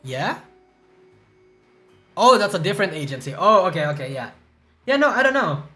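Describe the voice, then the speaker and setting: A man's voice: high voice, big pitch swings, normal speed, sharp tone, faint music behind. A young man streaming live, rambling and swearing as he reacts to news, groggy from a hangover and stuttering. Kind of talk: casual livestream chat reacting to news.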